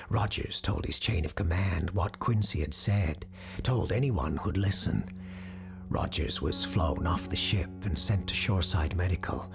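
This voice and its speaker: A woman playing a grave supernatural radio host and storyteller, speaking in a measured, solemn, deliberate way in a scripted audio drama. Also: very deep voice, gravelly, heavily acted. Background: music under the voice.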